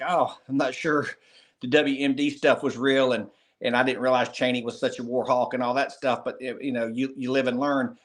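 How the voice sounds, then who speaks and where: A man in his fifties speaks with a North Carolina Southern drawl, at a middling pitch. He is plainspoken and easygoing as an interview guest, and his sentences trail through fillers and restarts. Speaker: guest, retired Secret Service agent. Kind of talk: relaxed interview storytelling.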